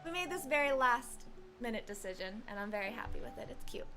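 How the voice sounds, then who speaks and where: A woman chats casually on a game livestream. Her voice is high and very animated, and her manner is playful as she talks to viewers. Faint music sits behind her. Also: quick pace. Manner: chatty, playful, reacting to viewers. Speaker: live streamer. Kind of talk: casual livestream chat over gameplay.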